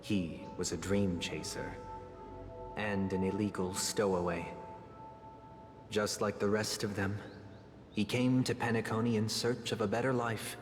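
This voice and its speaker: An actor playing a solemn noble man who heads a family, telling stories in a measured, formal way with soft, hushed phrases. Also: fairly low pitch, fairly even intonation, natural voice. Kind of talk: game dialogue voice acting. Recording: reverberant with game music.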